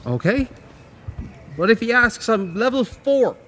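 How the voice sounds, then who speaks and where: A man playing a quirky, insecure monster in a horror audio drama, with a hesitant, excitable manner. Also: very high-pitched, unhurried, noisy background. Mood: unsure, protective. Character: quirky, sheepish, insecure male monster who loves cats.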